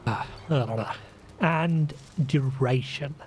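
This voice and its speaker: A man with a Scandinavian accent, sniffly from a runny nose, talking slowly through a game he plays. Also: medium pitch, faint music behind. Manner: slow, deliberate, with restarts and fillers. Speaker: gaming channel host. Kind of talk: casual let's play commentary.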